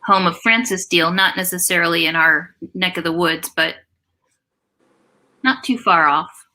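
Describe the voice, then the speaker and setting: A woman's voice: lowish voice, unhurried, pushed and bright. A woman co-hosting a livestream in a casual, chatty and informal way. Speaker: livestream co-host.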